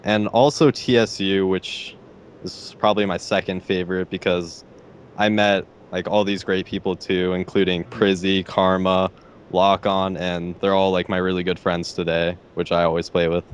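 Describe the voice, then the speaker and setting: Teen boy, deep voice, very low pitch, hesitant casual answers, lots of fillers. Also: level tone, normal speed, slight background noise. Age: teens. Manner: hesitant, many fillers like "like" and "I don't know". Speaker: interviewee, gamer.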